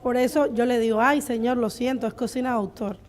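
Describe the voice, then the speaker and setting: Female, Cuban accent, higher-than-average voice, small-business owner answering unscripted at a live panel. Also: fairly even intonation, fairly quick, a little mellow. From Cuba. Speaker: restaurant owner on a panel of entrepreneurs.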